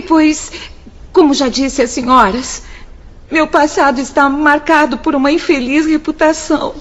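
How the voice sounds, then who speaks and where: High-voiced, tearful young woman in despair. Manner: tearful, pleading. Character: anguished poor young woman ashamed of her past. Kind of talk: radio drama acting. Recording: muffled lo-fi audio.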